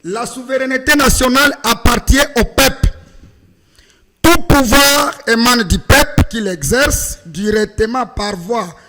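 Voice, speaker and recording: A man's voice: very high voice, unhurried, sharp tone, a little hiss or hum. A man with a Congolese accent speaking as a political panel guest in a formal, declamatory way. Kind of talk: political debate interview. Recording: reverberant hall-like room.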